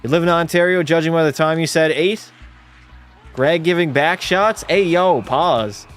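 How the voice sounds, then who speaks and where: Male streamer calling hockey and chatting with viewers, a touch high-pitched, laid-back delivery. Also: normal speed, faint music behind. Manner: relaxed, drops into terse hockey call mode. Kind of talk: casual play-by-play commentary and chat reading.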